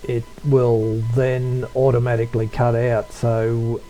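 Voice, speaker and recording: A man giving an unscripted software tutorial, talking in a low-key, hesitant way as if thinking aloud. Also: level tone, takes it slowly, faint music behind, rough recording. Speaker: tutorial presenter, radio hobbyist.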